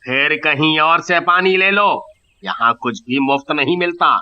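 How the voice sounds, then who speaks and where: A man voicing a greedy, callous village merchant in an animated moral story, gruff and dismissive, with drawn-out exclamations. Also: on the high side, muffled recording, close to an everyday voice. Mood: dismissive, stern.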